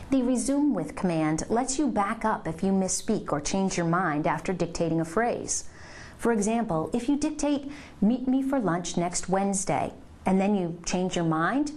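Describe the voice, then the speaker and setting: A woman reading scripted instructional narration for a software tutorial, giving clear step-by-step directions. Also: mid-range voice.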